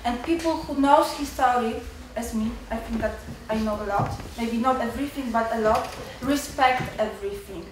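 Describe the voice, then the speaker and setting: A young woman with a Polish accent, a student giving nervous, halting remarks at a seminar with many restarts. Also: fairly high pitch, heavy reverb, recorded from a distance, noisy background. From Poland. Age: young adult. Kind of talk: nervous public remarks in a second language.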